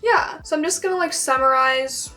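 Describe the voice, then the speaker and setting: A woman's voice: high-pitched, normal speed, pushed and bright, far from the mic, faint music behind. A young woman in her late teens who speaks with a stutter, talking casually to camera with frequent filler words. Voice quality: has a stutter. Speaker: vlogger.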